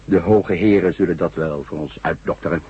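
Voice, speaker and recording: An actor playing a polite, folksy and unbothered small-town sheriff in a science-fiction radio drama. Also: male voice, low voice, brisk, darkish tone, breathy edge. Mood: puzzled, unbothered. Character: easygoing, unhurried male small-town sheriff. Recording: dull lo-fi radio recording, humming noise.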